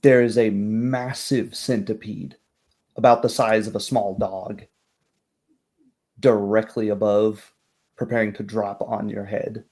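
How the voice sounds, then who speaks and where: Guy running a tabletop rpg as game master, lowish voice, wordy descriptive narration. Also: level tone, takes it slowly, darkish tone.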